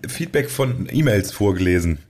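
Husky, lower-voiced man chatting wryly. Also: animated, normal speed, a little mellow. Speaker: podcast co-host. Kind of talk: casual chat.

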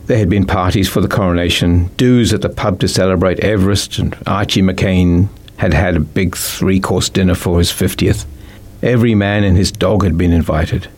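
A man's voice: low-pitched, steady tone, normal speed, darkish tone. A man with a New Zealand accent reading a children's story as scripted narration. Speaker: author reading own book.

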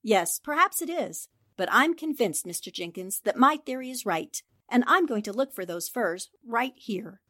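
Animated, inquisitive newspaperwoman. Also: a little high, normal speed. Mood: determined. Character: inquisitive, determined female newspaper reporter investigating a crime. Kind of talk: 1940s radio drama acting.

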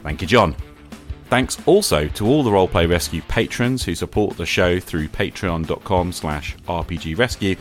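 A man's voice: low voice, lively intonation, normal speed, soft background music. A man with a British accent hosting a podcast in a casual, chatty style. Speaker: podcast host. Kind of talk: casual interview chat and sign-off.

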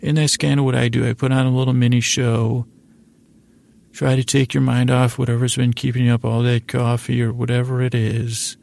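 Very creaky-voiced man, rambling bedtime podcaster. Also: medium pitch, level tone, dry recording. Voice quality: creaky, gravelly. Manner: meandering, halting, repeats and trails off; gently whimsical, self-deprecating. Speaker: podcast host. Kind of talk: rambling bedtime monologue to the listener.

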